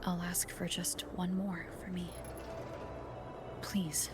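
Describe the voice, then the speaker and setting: A woman's voice: fairly low pitch, level tone, takes it slowly, very noisy. A woman playing a solemn, resolute young wanderer in a video game, earnest and restrained. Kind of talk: dramatic video game acting. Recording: loud music behind the voice.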